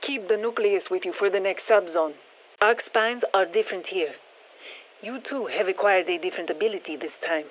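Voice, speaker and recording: A woman playing a composed female scientist who guides a mission in a video game, giving measured, businesslike instructions. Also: medium pitch, phone-quality sound, lightly acted. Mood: focused, instructive. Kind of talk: scripted video game voice lines. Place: dry studio.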